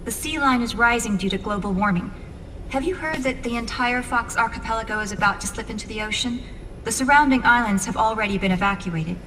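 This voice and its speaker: Brief: actress as a crisp young radio officer, a bit high, heard from off-mic over steady noise. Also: female voice, fairly quick, recorded from a distance, lightly acted. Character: young female communications officer, brisk and informative. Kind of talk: video game cutscene acting.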